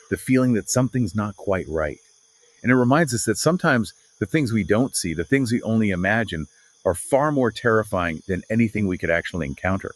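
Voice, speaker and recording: Guy on a book podcast, raspy voice, dry close sound, chatty but dramatic. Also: fairly low pitch, no echo. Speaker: podcast co-host. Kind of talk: conversational literary discussion.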